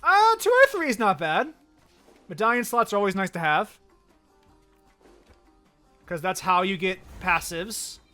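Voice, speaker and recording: Dude streaming a game, chill wry play-by-play, bright voice kinda high, soft music under it. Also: male voice, measured pace, long pauses. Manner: relaxed, wry, thinking aloud. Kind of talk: casual gameplay commentary.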